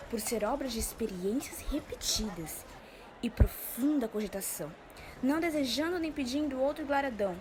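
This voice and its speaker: Casting: young Brazilian woman as male companion in a radio play, high voice, declamatory storytelling, slightly noisy recording. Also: close to an everyday voice. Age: teenager or young adult. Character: male interpreter friend relaying boastful orators' grand claims. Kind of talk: student radio drama of literary classic. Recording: faint background noise.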